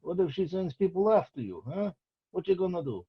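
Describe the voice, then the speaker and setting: A man's voice: sits high, sing-song, brisk, breathy edge, exaggerated voice. A man reading the part of a scruffy, teasing, reckless 28-year-old boyfriend in an amateur script table read. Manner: flippant, needling. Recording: dull lo-fi recording.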